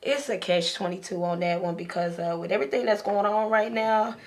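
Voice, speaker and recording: A woman's voice: medium pitch, steady tone, brisk. A woman on a podcast panel chatting casually in a plainspoken, informal way. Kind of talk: casual chat.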